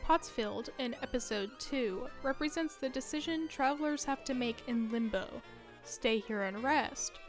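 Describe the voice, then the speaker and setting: Wry young woman, fairly high voice. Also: measured pace, soft background music. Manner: conversational, wry asides. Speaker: video essayist. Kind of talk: casual scripted narration.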